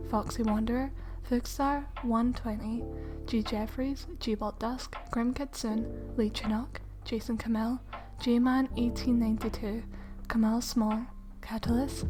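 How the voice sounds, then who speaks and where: High-voiced woman, soft ASMR host, over music. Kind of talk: soft-spoken ASMR channel outro.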